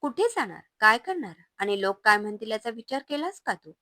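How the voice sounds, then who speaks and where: A woman voicing an angry, domineering husband who shouts and sneers at his wife. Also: medium pitch, animated, moderate pace, no room sound, studio quality, natural voice. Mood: scornful. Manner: shouting, scornful, loud.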